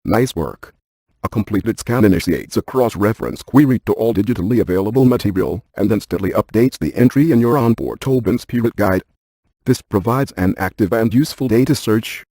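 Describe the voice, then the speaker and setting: Deadpan, mellow-voiced male ghost hunter scientist. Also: on the low side, a little fast, no room sound. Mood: matter-of-fact, explanatory. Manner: deadpan, precise, technical. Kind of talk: scripted video game character dialogue.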